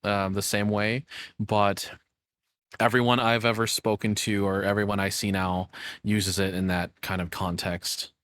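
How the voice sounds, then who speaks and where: A man with a fairly low voice gives casual reaction commentary. He talks informally and wanders through his thoughts as they come. The sound is very dry, with no room around him. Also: little pitch movement, measured pace, fairly bright tone, no room sound. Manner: rambling, informal. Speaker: reaction video host.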